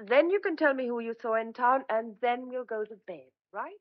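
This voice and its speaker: An actress playing a dutiful but sharp-tongued young country woman in an old-fashioned audio drama, speaking to her menfolk. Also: on the high side, moderate pace, close to an everyday voice. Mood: exasperated. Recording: dull, muffled lo-fi audio.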